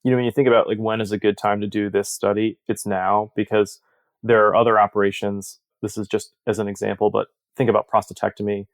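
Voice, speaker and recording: Even-toned, lowish-voiced man in interview. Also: quick pace, no room sound. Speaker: surgeon-researcher interviewed as study author. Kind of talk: conversational expert explanation.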